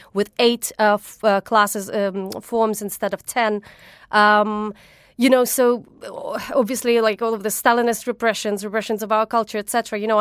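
A woman's voice: mid-range voice, smooth, no echo, clean studio sound. A woman from Ukraine whose first language is Russian, a chef and food writer answering interview questions earnestly and with feeling, often restarting her sentences.

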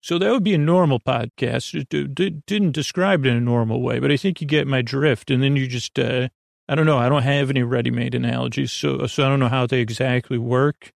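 A man with a creaky voice hosts a sleep podcast, rambling through a bedtime monologue with halting repetitions and drawn-out words. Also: medium pitch, dry recording, studio quality. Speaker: podcast host. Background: music.

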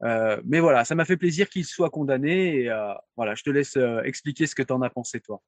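A man's voice: medium pitch, brisk, dry recording. Hesitant man with a dry sound. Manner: hesitant, lots of filler sounds, loose phrasing. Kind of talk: casual political discussion.

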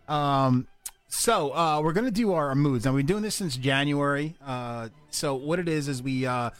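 A man hosting a loose podcast chat, talking with many ums and uhs. His voice sits a little high for a man. He sounds easygoing and a bit crude. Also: unhurried, smooth. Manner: loose, laughing, profane banter with many fillers. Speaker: podcast host. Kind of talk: casual chat.

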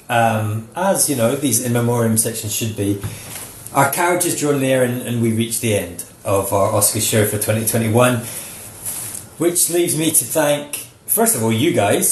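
A man hosting a podcast, wrapping up the show in a measured and courteous way and thanking his co-hosts and listeners. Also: mid-range voice. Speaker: podcast host. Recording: roomy echo with faint background noise.

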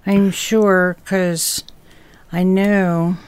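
Unhurried, clear-voiced American woman. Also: on the low side, flat delivery, a little mellow, no room sound. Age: middle-aged or older. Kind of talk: casual chat.

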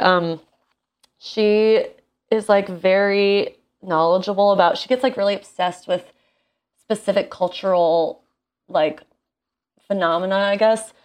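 A woman in her thirties with an American accent, chatting casually in an expressive, slangy, self-deprecating way. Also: mid-range voice. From small town in Indiana, United States. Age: 30s. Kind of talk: casual chat.

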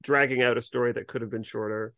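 Guy podcast cohost, casual review chat, no room echo, medium pitch. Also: fairly even intonation, quick pace, creaky, a bit bright, studio quality. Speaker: podcast co-host.

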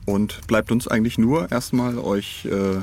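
A man's voice: lowish voice, unhurried, darkish tone. A man co-hosting a podcast in casual, joking chat. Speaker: podcast co-host. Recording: music under the voice.

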